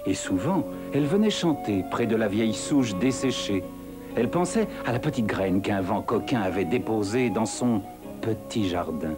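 A man's voice: medium pitch, lightly acted. A man playing a grandiloquent, poetic storyteller narrating a rhyming cartoon fable.

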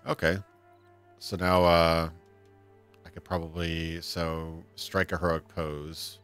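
A man with a low, deep voice talks easily through a livestreamed game, chuckling often and answering his chat between moves. Soft game music runs underneath him from a home setup. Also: level tone, slow, smooth. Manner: chatty, laughs often, reads chat aloud. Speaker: livestream gamer. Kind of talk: casual let's-play chat. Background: faint game music.

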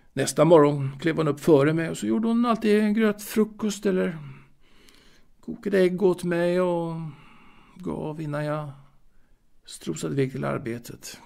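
An actor playing a lonely, melancholy ageing waiter in an intimate audio drama monologue, halting and reflective, repeating himself and pausing. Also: male voice, on the high side, gravelly, darkish tone. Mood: wistful, matter-of-fact. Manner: halting, reflective, fussy, with repetitions and pauses. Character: lonely, melancholy ageing waiter reminiscing about his late mother.

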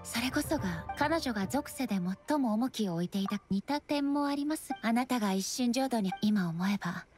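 Lady voicing a regal game goddess, highish voice, calm and formal, music underneath. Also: close to an everyday voice. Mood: solemn, reflective. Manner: formal, measured, reserved. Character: dignified female deity ruler, composed, solemn, reflective. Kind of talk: Japanese game voice acting.

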